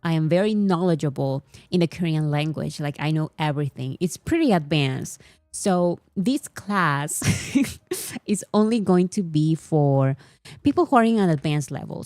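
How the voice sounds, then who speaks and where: A woman's voice: low-pitched, mellow, dry recording, clean studio sound. A woman whose first language is Spanish, chatting casually to camera as a fan vlogger, mock-serious and laughing often. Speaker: K-pop vlogger.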